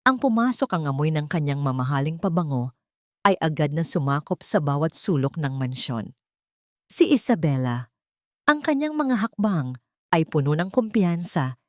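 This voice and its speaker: A woman's voice: low voice, sing-song, normal speed, dry recording, studio quality. A woman reading a scripted dramatic story in a solemn, melodramatic manner.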